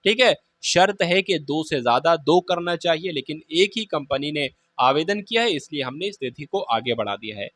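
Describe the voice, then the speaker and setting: Man, high voice, dry close sound, informal teacher, reassuring, colloquial. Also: lively intonation, moderate pace, hardly any pauses, a bit bright, dry recording. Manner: colloquial and reassuring, with repeated check phrases. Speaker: exam coaching teacher. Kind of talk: informal explanatory talk to students.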